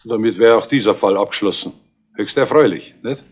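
An actor playing a sly, ironic private investigator in a 1970s radio crime play. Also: male voice, fairly low pitch, a little fast. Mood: teasing. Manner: ironic, smooth, teasing.

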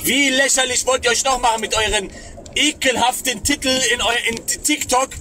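A man's voice: extremely high pitch, steady tone, fairly quick, pushed and bright, soft background music. A man in his thirties hosting a livestream, talking in a sarcastic, scornful way and reading the chat aloud, recorded close on a phone. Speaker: livestream host. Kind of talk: mocking livestream commentary. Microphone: phone camera close up.

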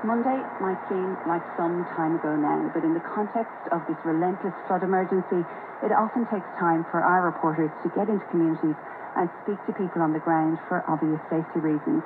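Female Australian news host, lower register, scripted read, tinny hissing shortwave. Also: fairly quick. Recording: very noisy, hissy shortwave radio reception.

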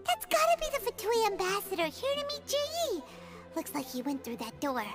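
A woman voicing a tiny, eager fairy companion in a game, with a high, squeaky voice. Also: sing-song, moderate pace. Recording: game audio with music.

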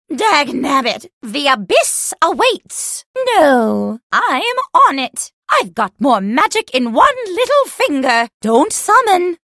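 Cheeky old granny witch for a game, high voice swooping all over the place, doting but up for a fight. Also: a bit bright, no echo, clean studio sound, exaggerated voice. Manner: theatrical, doting, teasing, drawn-out exclamations. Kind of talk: video game character voice lines.

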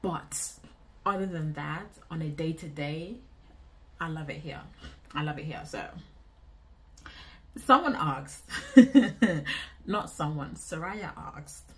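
A woman in her twenties with a Nigerian accent, chatting casually to camera in an indoor vlog, expressive and repeating phrases for emphasis. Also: low-pitched, takes it slowly, pauses often. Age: 20s. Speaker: vlogger. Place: small indoor room.

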